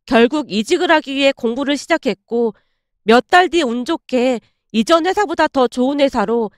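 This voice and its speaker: A woman's voice: sits high, normal speed, no room sound, clean studio sound. A woman reading a scripted first-person dramatic story as its narrator.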